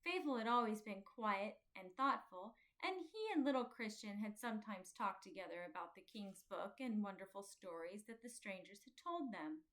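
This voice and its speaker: A woman reading a children's storybook aloud as an expressive scripted narration. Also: mid-range voice, normal speed, few pauses, rough recording. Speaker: children's audiobook reader.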